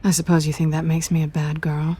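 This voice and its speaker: Video game cat burglar: low female voice, flirtatious and self-interested, deliberate, cool delivery. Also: little pitch movement, mellow, breathy edge. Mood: teasing, curious. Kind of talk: cinematic video game acting.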